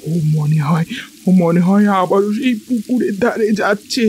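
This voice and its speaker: Man acting anxious, high-pitched old grandmother. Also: animated, a little mellow, slight background noise. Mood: frightened urgency. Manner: tearful, slow, repeating her pleas. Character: anxious old village grandmother, fearful for her son. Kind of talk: cartoon horror story voice acting.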